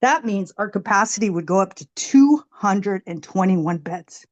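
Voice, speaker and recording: A woman making a prepared public comment at a meeting, with an emphatic, deliberate way of talking. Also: mid-range voice, expressive, dull audio.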